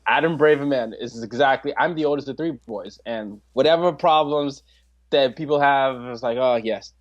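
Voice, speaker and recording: Guy in his 20s on a podcast, animated, laughs a lot, keeps restarting sentences. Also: medium pitch, moderate pace, muffled recording. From Nigeria. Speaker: podcast guest. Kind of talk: casual interview chat.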